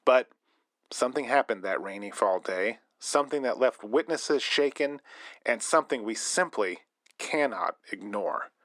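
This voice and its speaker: A man's voice: mid-range voice, fairly bright tone, no room sound, studio quality. An actor playing a male radio host narrating a spooky story in scripted style.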